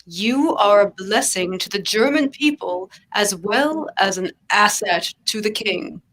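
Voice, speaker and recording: A woman's voice: mid-range voice, expressive, a little slow, a bit bright, poor audio. A woman playing a gracious, pompous German king in a table read of a stage comedy. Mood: regal, gracious. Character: stately, gracious German king handing out rewards.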